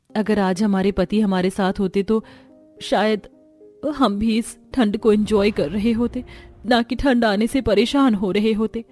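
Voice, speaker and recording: A woman's voice: fairly high pitch, a little mellow, close to an everyday voice. A woman voicing earnest, caring women of a poor village family in a cartoon story. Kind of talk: Hindi cartoon story dialogue.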